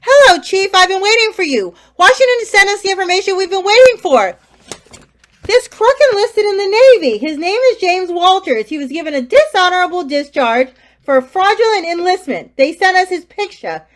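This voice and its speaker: Radio-play police inspector, matter-of-fact and in charge, played by a woman, pitched very high. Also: lively intonation, normal speed. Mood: briefing. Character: brisk police inspector, confident. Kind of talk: community theater radio play.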